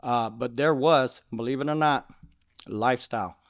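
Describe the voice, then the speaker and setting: A car club founder speaks earnestly in a casual sit-down interview. He circles back over his own phrases and keeps asking whether you know what he means. His voice is mid-pitched and the room sounds dry. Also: normal speed, dry recording.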